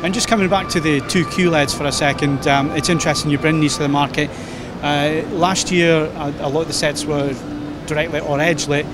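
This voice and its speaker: A man's voice: on the high side, fairly even intonation, a little fast. A man with a Scottish accent interviewing a guest at a trade show, asking chatty, informal questions. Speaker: tech website interviewer. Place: busy trade show hall. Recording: noisy trade show floor. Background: crowd chatter and hall noise.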